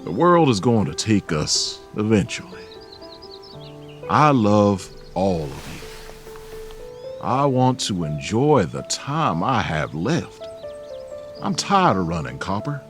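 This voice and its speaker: A man acting a calm, weary, caring companion who speaks softly and coaxes others, in an audio drama. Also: on the low side, slow, pauses often, music in the background. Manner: soft, coaxing, gentle. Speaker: Silver.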